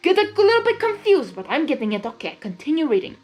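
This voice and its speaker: A woman's voice: high-pitched, big pitch swings, quick pace, darkish tone, soft background music. A woman hosting and narrating a story reading expressively, with casual asides. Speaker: host and narrator of a blind reading.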